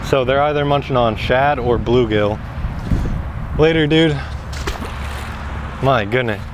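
A young man chats casually through an action camera strapped to his head while fishing a pond outdoors. He talks slowly and loosely, breaking into loud excited outbursts at every catch. The audio is thin and noisy, full of outdoor ambience. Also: medium pitch. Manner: excitable, exclaims at each catch. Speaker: fishing vlogger. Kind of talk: casual first-person fishing vlog. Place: outdoors at a pond by a large building. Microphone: head-mounted action camera.

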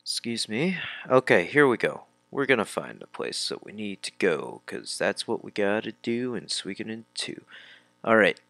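A man's voice: on the low side, sing-song, moderate pace, creaky, bright, forceful tone, no room sound. A man giving casual let's play commentary, reading dialogue aloud and muttering informal asides to himself. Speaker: video game let's player.

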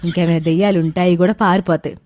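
A woman's voice: on the low side, steady tone, quick pace, darkish tone, a little hiss or hum, close to an everyday voice. A woman voicing a sarcastic, wary older village mother-in-law in a cartoon story, scolding in rustic colloquial speech. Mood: wry. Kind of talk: animated Telugu moral story dialogue.

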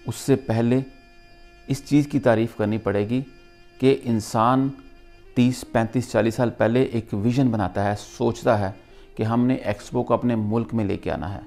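A middle-aged man with a Pakistani accent gives an earnest, emphatic motivational talk to camera in deliberate, paused phrases. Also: medium pitch, a little mellow. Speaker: vlogger, motivational speaker.

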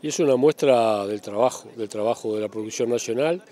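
A man's voice: mid-range voice, brisk, dark tone, soft background music. An older man with a Uruguayan accent giving an interview outdoors into a handheld microphone. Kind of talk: spoken interview answer. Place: outdoors at a showground.

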